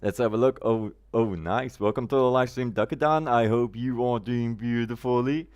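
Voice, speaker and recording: A man's voice: mid-range voice, moderate pace, a little mellow. A man from Germany, chatting casually on a gameplay live stream, greeting viewers by name in quirky, roundabout phrasing.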